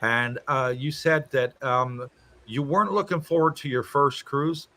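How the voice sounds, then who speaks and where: A man's voice: mid-range voice, measured pace, bright, forceful tone. A man hosting a casual livestream from his home, reading out viewer comments and answering them in a chatty way. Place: home in Thailand.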